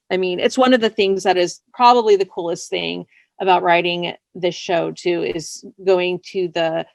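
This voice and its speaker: A woman's voice: on the low side, creaky, a little mellow, no echo. A woman writer speaking casually as an interview guest, chatty, often laughing as she talks. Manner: chatty, laughs while talking.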